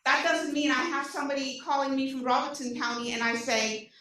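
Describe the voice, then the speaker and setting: Woman, Indian accent, animated presenter, higher voice, booming room echo. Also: brisk. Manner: animated, rhetorical questions, frequent "okay?" check-ins. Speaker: nonprofit program presenter. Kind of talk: energetic public talk to an audience. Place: large meeting room. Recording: echoey hall, speaker far from mic.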